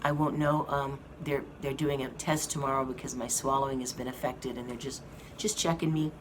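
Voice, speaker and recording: A hoarse woman giving a slow, halting update to her viewers from a hospital room. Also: very deep voice, steady tone. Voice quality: slow, halting speech with many fillers. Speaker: vlogger giving a health update. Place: hospital rehab room with an air conditioning fan. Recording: noisy room with fan hum. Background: air conditioning fan.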